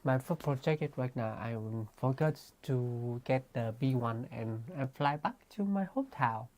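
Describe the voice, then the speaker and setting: A woman's voice: very deep voice, moderate pace, warm tone. A woman from Vietnam with a Vietnamese accent, co-hosting a casual chat in simple English with short replies. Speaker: co-host.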